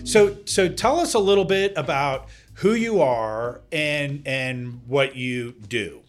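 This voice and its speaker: A man's voice: medium pitch, slow, faint music behind. Chatty male podcast host from Denmark. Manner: friendly, stumbling restarts, many backchannels. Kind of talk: casual interview.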